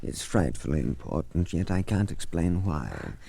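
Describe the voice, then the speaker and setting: An actor in a 1940s radio drama playing a detective disguised as an anxious old gentleman, gravelly and courtly. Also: extremely low pitch, very animated, normal speed, heavily acted. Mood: earnest, gentle. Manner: earnest, courtly, theatrical.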